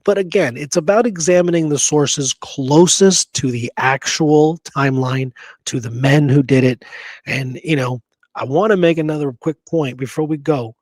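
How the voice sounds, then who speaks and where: A man's voice: fairly high pitch, moderate pace, a little mellow, no room sound. A man co-hosting a casual history podcast, speaking in an emphatic, informal, argumentative way. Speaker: podcast co-host.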